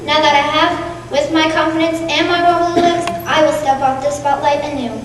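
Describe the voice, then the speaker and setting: A woman's voice: high-pitched, fairly even intonation, normal speed. A young woman, a student, reciting a readers theatre monologue on stage. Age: teens to young adult. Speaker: student performer. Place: school auditorium stage. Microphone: stand mic, heard from distant camera. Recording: echoey hall, distant, faint noise.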